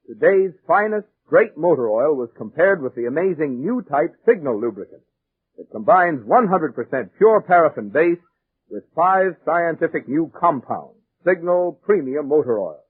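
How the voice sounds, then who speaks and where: A man announcing and pitching a product in an emphatic 1940s radio advertising style, on a vintage recording. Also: slightly rough, no echo. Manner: emphatic, salesmanlike. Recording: vintage 1940s radio, narrow tinny band.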